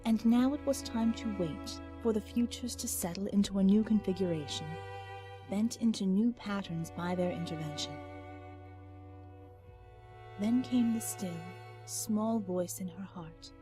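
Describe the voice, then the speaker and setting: A woman narrating a dramatic story in a solemn, measured, scripted style. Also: medium pitch. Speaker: audiobook narrator. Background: music.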